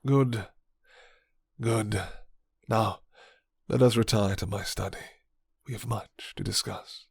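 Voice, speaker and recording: Vampire lord male performer: seductive, menacing, commanding; unhurried formal delivery, breathy half-whispers, dry ASMR sound. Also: fairly low pitch, steady tone, darkish tone, dry recording, full character voice. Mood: reassuring. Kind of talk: ASMR roleplay.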